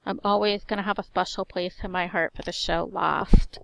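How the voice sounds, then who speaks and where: Gravelly teacher woman with a slight lisp. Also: fairly low pitch, moderate pace, rough recording. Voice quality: voice that carries. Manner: slight slur on S sounds; lists things in short even phrases. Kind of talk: personal self-introduction monologue.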